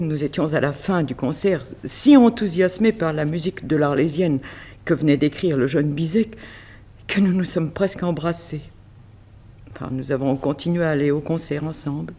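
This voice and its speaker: A woman's voice: low voice, warm tone. An actress playing a bereaved middle-aged mother who runs a fashion house, formal and sorrowful in 1960s French radio mystery style.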